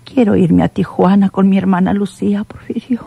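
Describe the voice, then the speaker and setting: A woman's voice: medium pitch, steady tone, moderate pace, vocal fry, narrow, tinny audio, music in the background. An actress playing a frail, frightened bedridden woman in a Mexican radio drama, with a Mexican accent. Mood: weary.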